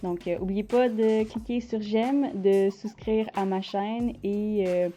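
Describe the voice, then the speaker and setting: A woman with a Quebec French accent talks casually about her artwork, pausing often to find the right word. Her voice is very dark and mellow. Her pitch sits in an ordinary middle range. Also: fairly even intonation, soft background music. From Quebec, Canada. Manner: hesitant, searching for words. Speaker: art channel host. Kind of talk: casual unscripted vlog talk.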